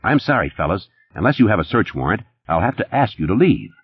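A man's voice: on the low side, big pitch swings, fairly quick, breathy edge, no echo, lo-fi sound, exaggerated voice. A man voicing a firm, unyielding doorman with a curt, official manner. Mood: firm, warning.

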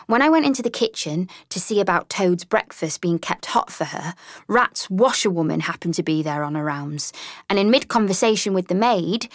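Excitable mole voiced by a woman, storybook, gleeful, chatty, dry studio. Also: medium pitch, no echo, voice pushed up, natural voice. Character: cheeky, excitable young female mole. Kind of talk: audiobook character reading.

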